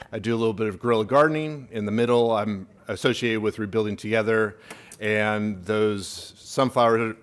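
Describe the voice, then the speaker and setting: A man's voice: lowish voice, fairly bright tone. A middle-aged man, a lawyer, giving a friendly, self-deprecating workshop talk with a microphone in a church hall. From Northern California. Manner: self-deprecating, chatty, with fillers. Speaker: estate planning lawyer, panelist. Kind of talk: workshop presentation. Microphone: handheld microphone.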